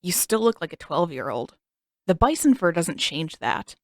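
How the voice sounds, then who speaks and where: A sarcastic teenage boy who grumbles and scoffs at everything, as a woman reading a storybook puts him on. He talks in a flat, dry, mocking way, in a slightly lower register than the narrator. The recording is close and dry. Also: normal speed, no room sound. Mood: grumbling.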